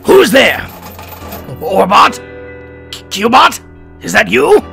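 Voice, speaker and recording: A man playing a surly, blustering mad doctor villain in an audio drama, frightened but defiant, with a gravelly, theatrical voice. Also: sits high, big pitch swings, slow pace, pushed and bright, faint music behind, full character voice. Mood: nervous, demanding. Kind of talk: audio drama character acting.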